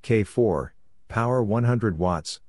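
A man reading scripted radio practice messages in short, separated, deliberate phrases, like an instructor. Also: lowish voice, a little mellow, no echo, studio quality. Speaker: amateur radio Morse code practice narrator.

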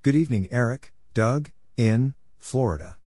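A man reading out call signs and short radio exchanges for code practice in clipped, deliberate, separated phrases. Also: mid-range voice, dark tone, no echo, clean studio sound. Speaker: Morse code practice narrator.

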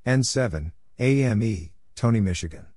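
Guy w/ lowish dark soft-edged voice reading out code practice stuff, short phrases, letter by letter. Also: expressive, normal speed, no room sound, studio quality. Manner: spells letters and numbers out one at a time. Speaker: Morse code practice narrator. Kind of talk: spoken readout for code practice.

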